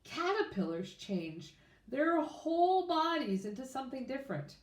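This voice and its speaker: Slow-reading woman storyteller in echoey room. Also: high voice. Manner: expressive, with informal asides. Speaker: children's book reader. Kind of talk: read-aloud storybook narration.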